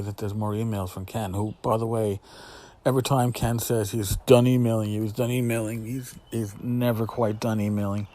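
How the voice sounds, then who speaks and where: A middle-aged man hosting a casual solo podcast, talking in a blunt, sarcastic, sweary way with emphatic repetition and pauses for effect. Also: lowish voice, dark tone. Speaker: podcast host. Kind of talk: casual spoken podcast monologue.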